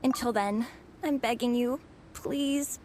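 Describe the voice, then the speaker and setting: A woman's voice: on the high side, slow, a little mellow, slight background noise. A woman voicing a weary young female student who pleads dramatically about her unfinished thesis, acted for a game. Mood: despairing. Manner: melodramatic, pleading. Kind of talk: game voice acting.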